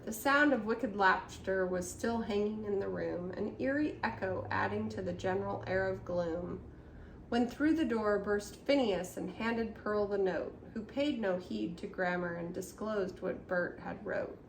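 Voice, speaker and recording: An older woman reading a rhyming children's storybook aloud at home, expressive, with murmured asides. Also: medium pitch, measured pace, vocal fry, far from the mic. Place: room at home. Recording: roomy echo, faint background noise.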